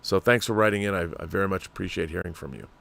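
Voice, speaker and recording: A man's voice: sits low, fast, vocal fry, fairly bright tone. A middle-aged American man hosting a casual fan livestream, reading viewer messages aloud and chatting with opinionated asides.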